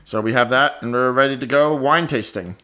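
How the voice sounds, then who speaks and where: A man's voice: mid-range voice, fairly quick, sharp tone. A man co-hosting an improv comedy podcast in a casual, bantering, conversational way. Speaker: podcast co-host.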